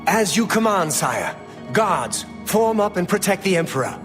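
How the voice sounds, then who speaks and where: A man's voice: sits high, sing-song, normal speed, a bit bright, faint music behind. A man playing a stately, commanding imperial chancellor in a video game, with formal, declamatory delivery. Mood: rallying, dutiful. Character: stately, commanding male high chancellor of an empire. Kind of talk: video game dramatic dialogue. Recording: long hall-like reverb.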